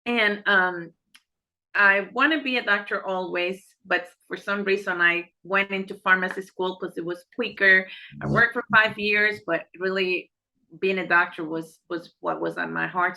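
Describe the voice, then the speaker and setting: A woman's voice: lowish voice, fairly even intonation, moderate pace, creaky, bright, forceful tone, rough recording. A middle-aged woman with a Puerto Rican accent, a native Spanish speaker, answers interview questions conversationally and earnestly, often repeating words as she goes. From Puerto Rico. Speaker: interview guest, neurologist and medical director. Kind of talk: conversational interview answers about life and work.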